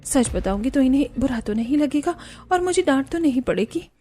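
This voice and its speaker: An overworked young daughter-in-law in a cartoon, acted by a woman. She is dutiful but grumbles, in a high-pitched voice over music. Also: lively intonation, a little fast, warm tone. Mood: worried. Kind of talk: cartoon family drama dialogue.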